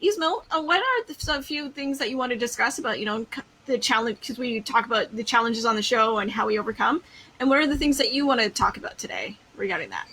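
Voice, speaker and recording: A woman's voice: a little high, animated, fast talker, bright, forceful tone, rough recording. A woman hosting an interview show, greeting her guest with enthusiastic, effusive praise. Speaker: podcast host.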